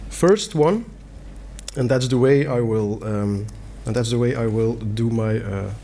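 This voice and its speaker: A man's voice: medium pitch, measured pace, mellow. Belgian man giving a conference talk. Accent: Belgian Dutch. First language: Dutch. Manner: earnest, plain wording with fillers and restarts. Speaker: conference speaker. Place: conference hall.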